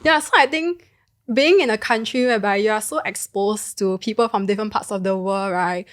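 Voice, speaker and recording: A woman's voice: medium pitch, fairly quick. A woman with a Singaporean accent, chatting casually and telling anecdotes as a podcast co-host.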